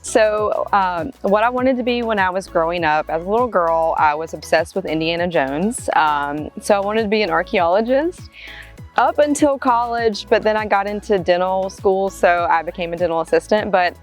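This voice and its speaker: Southern realtor woman, 30s, relaxed and chatty, medium voice, light music bed. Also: mid-range voice, moderate pace. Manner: casual, friendly, many "um"s. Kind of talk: answering interview questions to camera.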